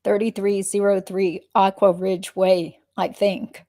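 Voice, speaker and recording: A woman reading the part of a guarded witness in a deposition, giving terse, measured answers. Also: medium pitch, little pitch movement, mellow. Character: guarded adult female witness being deposed. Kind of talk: dramatic reading of a legal deposition transcript.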